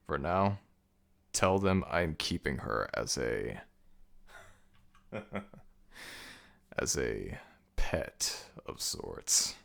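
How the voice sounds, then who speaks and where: A man playing a commanding, brooding ancient jackal god of the dead in an ASMR audio roleplay, with a gravelly, very deep voice and a slow, imperious delivery. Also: a bit bright, full character voice. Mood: scheming, commanding.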